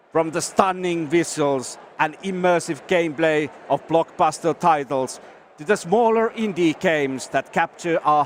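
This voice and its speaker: A man with a Finnish accent hosting an awards ceremony, giving a formal speech with deliberate, self-deprecating jokes. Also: a little high, slight background noise. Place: historic hall, live event.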